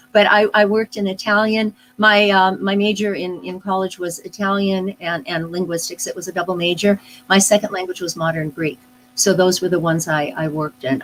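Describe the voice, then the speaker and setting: A middle-aged woman, a speech pathologist, answering interview questions in a thoughtful, unhurried way, with many pauses and restarts. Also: on the low side, a bit bright, a little hiss or hum, rough recording. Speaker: speech-language pathologist guest. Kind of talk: live online interview, explaining casually.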